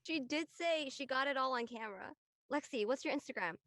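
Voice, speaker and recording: A woman's voice: high voice, a little fast, a bit bright, no echo, clean studio sound. A woman playing a chirpy, curious and friendly teenage girl in a casual audio drama. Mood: matter-of-fact. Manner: casual, inquisitive.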